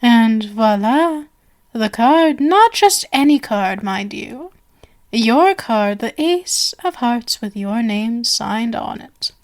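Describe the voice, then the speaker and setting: A woman's voice: a little high, expressive, takes it slowly. A woman playing a genial but sinister stage magician, possessive and doting, with flowery, coaxing endearments in an ASMR roleplay. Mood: playful, teasing.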